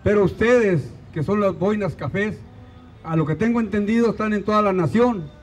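A man's voice: high-pitched, normal speed, a little hiss or hum. An older man from Mexico with a Mexican accent, giving an earnest, rousing rally speech outdoors into a handheld mic. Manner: earnest, rousing, asks pointed questions. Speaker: rally speaker. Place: outdoors in a park.

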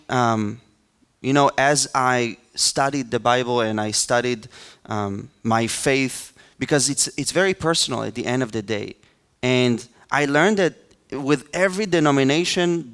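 A young adult man with an Israeli accent speaks as an interview guest. His mid-pitched voice is smooth and very clear, and his delivery is conversational. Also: fairly bright tone. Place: large hall stage, indoors. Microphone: headset.

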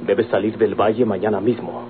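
A man's voice: lowish voice, steady tone, brisk, lightly acted. A man acting in an old Mexican radio drama as a firm, defiant grown son in love, earnest and declamatory. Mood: earnest, resolute. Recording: old tinny radio recording, noisy hum.

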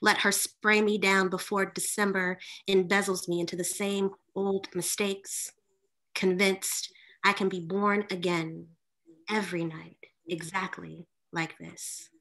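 A woman's voice: mid-range voice, level tone, unhurried, a bit bright, poor audio. A woman in her thirties giving a poetry reading with casual asides, recorded at home over a video call. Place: room at home with bookshelves, indoors.

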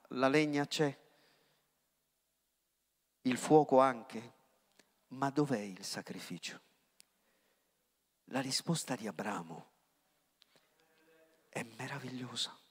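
A middle-aged man, preaching a sermon into a handheld mic, swinging from loud outbursts to hushed words. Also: medium pitch. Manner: impassioned preaching with sudden rises and hushed drops. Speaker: pastor. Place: church hall. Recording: long church reverb.

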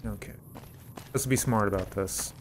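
A man's voice: fairly low pitch, normal speed, slight background noise. A man giving casual playthrough commentary on a video game, talking cheekily to the enemies as he plays. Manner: talks to game enemies, mock-taunting, swearing. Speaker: gameplay streamer and mod author.

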